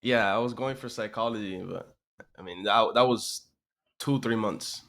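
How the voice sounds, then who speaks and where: A man of about twenty-two who grew up in Miami speaks as a guest in a casual interview. His voice sits on the low side as he talks through his own experience. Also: moderate pace, crowd noise. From Miami, USA. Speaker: interview guest, online business owner.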